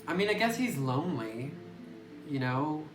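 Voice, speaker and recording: A man's voice: on the high side, big pitch swings, moderate pace. A man casually commenting as he plays a game, musing with hesitant restarts. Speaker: game streamer. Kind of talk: let's play commentary. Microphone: phone mic away from speaker. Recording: roomy distant phone recording with music.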